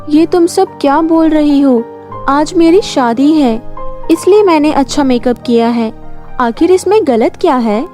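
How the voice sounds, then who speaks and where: A woman's voice: sits high, animated, a little slow, dark tone, soft background music, pitched above the performer's own voice. A woman voicing an indignant young fairy bride who protests in a storybook tale.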